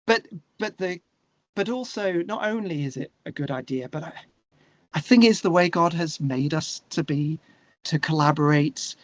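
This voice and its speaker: Male interview guest, slightly higher register, conversational and opinionated, working through thoughts aloud, on a dull lo-fi recording. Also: moderate pace, no echo. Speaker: interview guest, musician and songwriter.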